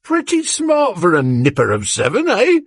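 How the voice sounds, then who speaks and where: A man's voice: sits high, wildly swooping pitch, moderate pace, no echo, clean studio sound, voice pushed up, heavily acted. A man doing a hearty, bluff neighbour, all chummy cheer. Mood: cheery. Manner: cheery and eager, chummy "old boy" talk. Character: hearty, bluff, sweaty zealous male neighbour.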